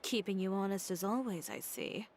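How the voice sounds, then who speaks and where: Cool young female designer, played by a woman: self-assured, blunt, mid-pitched, anime game delivery over music. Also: moderate pace, noisy background, close to an everyday voice. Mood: probing, dry. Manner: composed, blunt, dry. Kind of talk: anime-style video game voice acting.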